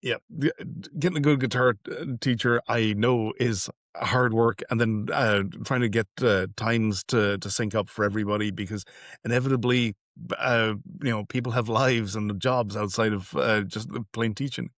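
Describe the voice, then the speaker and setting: A man hosting a conversational interview podcast, putting his thoughts together in halting, deliberate short pieces. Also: fairly low pitch, steady tone, fairly bright tone, dry recording, clean studio sound.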